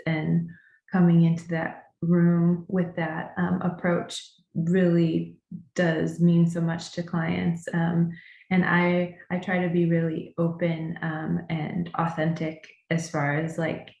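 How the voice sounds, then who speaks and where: A woman's voice: lowish voice, gravelly, darkish tone, reverberant, lo-fi sound. A woman therapist speaking earnestly and reflectively on a panel, second-generation American with English as her first language. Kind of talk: panel discussion.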